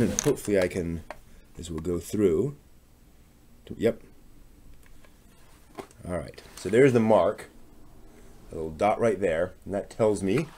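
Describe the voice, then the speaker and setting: Low-voiced man musing aloud, noisy recording. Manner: tentative, musing, trailing off. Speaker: host of a live craft stream. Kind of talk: casual hands-on demonstration, thinking aloud.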